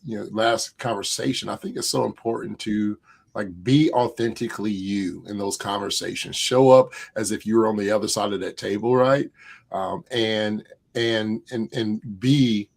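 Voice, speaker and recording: Gravelly, eager man co-hosting a webinar. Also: lowish voice. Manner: enthusiastic, halting, with many restarts. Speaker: event co-host.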